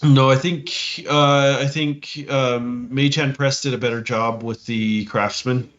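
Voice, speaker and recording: A man's voice: medium pitch, steady tone, takes it slowly, fairly bright tone, muffled recording. A man co-hosting a podcast, chatting casually about tabletop games in a hesitant, noncommittal way. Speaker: podcast co-host.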